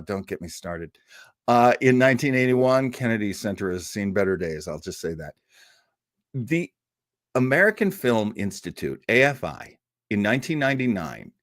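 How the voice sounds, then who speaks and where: A man's voice: mid-range voice, dry recording, studio quality. A man hosting a live online listening party, rambling casually with self-deprecating jokes and digressions. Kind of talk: casual rambling livestream introduction.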